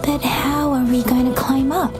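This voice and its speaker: Woman acting a high-voiced, poised game heroine. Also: level tone, normal speed, over music, close to an everyday voice. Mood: focused, observant.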